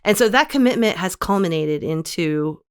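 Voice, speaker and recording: A woman's voice: lowish voice, expressive, normal speed. A woman in her forties, a guest author, speaking candidly and reflectively in an interview, often correcting herself mid-sentence. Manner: earnest, reflective, frequent self-corrections.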